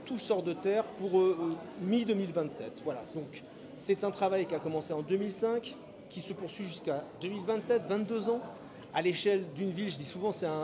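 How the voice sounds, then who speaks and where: A man, a local mayor, giving a measured press statement with frequent hesitations and filler pauses. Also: sits high.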